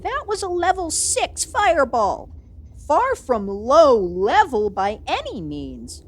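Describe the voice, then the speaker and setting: A woman's voice: sits high, big pitch swings, takes it slowly, slight background noise, full character voice. A woman playing a haughty, easily affronted evil sorceress in an ASMR fantasy roleplay, imperious and melodramatic. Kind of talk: ASMR audio roleplay acting.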